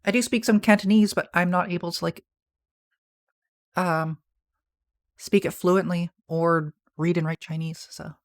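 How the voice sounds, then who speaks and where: A man with a soft, feminine-sounding voice, chatting casually on a livestream and answering viewer messages in a rambling, soft-spoken way. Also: high-pitched, fairly even intonation, a little slow, long pauses. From Canada. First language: English. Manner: soft-spoken, rambling, with restarts and small laughs. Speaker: streamer.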